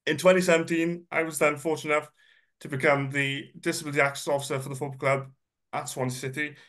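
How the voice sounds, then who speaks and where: Congested man, slightly higher voice. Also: little pitch movement, fast, a bit bright. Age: 30s. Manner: chatty, self-deprecating, with frequent ums and uhs. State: congested, slightly nasal from a cold. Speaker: guest speaker. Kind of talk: informal personal presentation.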